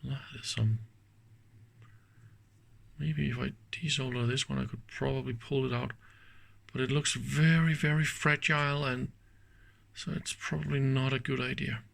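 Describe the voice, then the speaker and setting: A man hosting a hands-on electronics teardown, thinking aloud in halting, pieced-together sentences as he examines things. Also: mid-range voice, creaky. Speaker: host of an electronics teardown channel.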